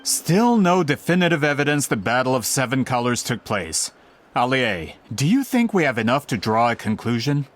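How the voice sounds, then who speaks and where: An actor playing an impatient, skeptical gentleman publisher in a video game, formal and pompous. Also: medium pitch, big pitch swings, normal speed, natural voice. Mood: probing.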